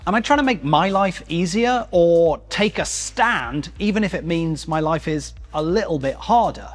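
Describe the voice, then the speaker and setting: A man's voice: on the high side, animated, moderate pace. A British man hosting a casual tech review vlog, chatty and self-deprecating, with jokey, slangy asides. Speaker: YouTube video host.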